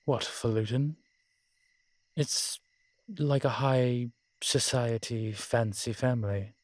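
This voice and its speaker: A man's voice: mid-range voice, slow pace, no echo, close to an everyday voice. A man playing an ancient, proud and world-weary male vampire in an audio roleplay, talking in a wry, haughty way with old-fashioned fancy words. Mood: wry, defensive. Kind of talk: audio roleplay drama, one-sided dialogue.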